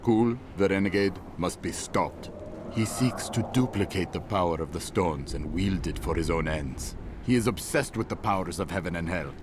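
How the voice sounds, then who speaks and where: Solemn game character, low deep voice, formal, deliberate, resolute, ambient noise. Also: male voice, lively intonation. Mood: grave resolve. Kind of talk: solemn dramatic game monologue.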